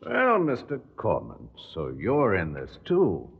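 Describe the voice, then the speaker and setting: An actor playing a composed, authoritative museum official, measured and knowing, in old radio drama style. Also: male voice, lowish voice, huge pitch swings, creaky, slightly rough, exaggerated voice. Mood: grave, knowing. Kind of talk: 1960s radio drama acting. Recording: muffled, reverberant old radio recording.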